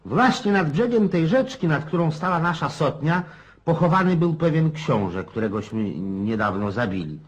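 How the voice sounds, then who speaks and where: An actor playing a garrulous, cynical old Cossack in a radio play, rough-edged and folksy, prodding his listener with questions. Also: fairly high pitch, lively intonation, moderate pace, lo-fi sound. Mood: musing, reminiscing.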